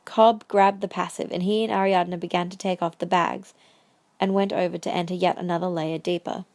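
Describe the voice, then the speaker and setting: A woman's voice: lowish voice, level tone, brisk, a little mellow, dry recording, clean studio sound. A woman reading scripted story narration for an audio drama.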